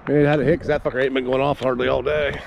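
A man from Ohio giving casual, excitable play-by-play while ice fishing, recorded outdoors on a frozen pond with a body-worn camera. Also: medium pitch, very fast, vocal fry, dull audio. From Ohio, USA. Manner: chatty, excitable, swears casually. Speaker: fishing video host. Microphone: body-worn action camera. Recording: outdoor camera audio with steady noise.

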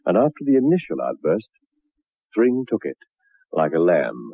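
An actor playing a wry, ominous narrator of a 1960s radio horror story, theatrical and sardonic. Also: male voice, deep voice, huge pitch swings, moderate pace, creaky, slightly rough, no room sound, exaggerated voice. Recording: dull old radio recording. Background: music.

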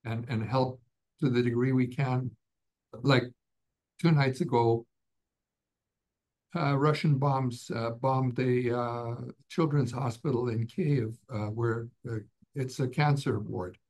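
A man's voice: medium pitch, fairly even intonation, takes it slowly, darkish tone. Middle-aged canadian guy on a webcam call from his office, lots of uhs and restarts. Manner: rambling, many fillers and restarts. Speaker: guest author. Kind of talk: casual interview on a video call. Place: office indoors. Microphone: webcam on a video call.

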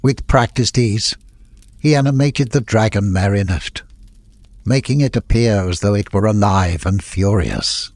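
Low, husky male storyteller. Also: a little slow, darkish tone, dry recording. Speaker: audiobook narrator. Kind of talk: scripted story narration.

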